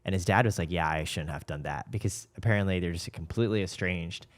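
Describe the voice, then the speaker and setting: Fast-talking American man, lowish voice. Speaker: podcast participant. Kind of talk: casual chat and anecdotes.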